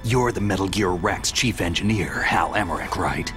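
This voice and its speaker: An actor playing a gruff, hardened lone soldier in a dramatic video game, speaking tersely and bluntly. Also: male voice, moderate pace, over music, lightly acted. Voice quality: gruff, low.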